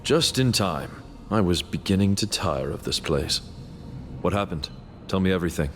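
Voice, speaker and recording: A man's voice: sits low, expressive, normal speed. A man playing a loyal, earnest comrade in a fantasy game, with a dramatic delivery. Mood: concerned. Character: loyal, grateful male comrade, earnest freed prisoner. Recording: loud music behind.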